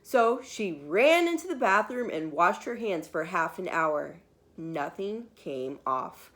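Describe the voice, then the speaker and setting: A woman reads a picture book aloud to young children. Her voice is bright and swings freely up and down. She is expressive and emphatic, leaving dramatic pauses as she tells the story. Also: fairly low pitch. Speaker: preschool teacher reading a children's book aloud.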